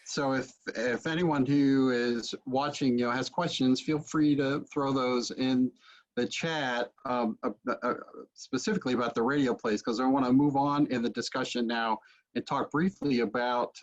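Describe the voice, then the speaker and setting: A man in his forties hosts a casual discussion over a video call. His voice is medium in pitch, and the computer microphone makes it sound dull and lo-fi. He moderates in an informal, conversational style. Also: steady tone, moderate pace. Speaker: host, interviewer. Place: book-lined home study, video call.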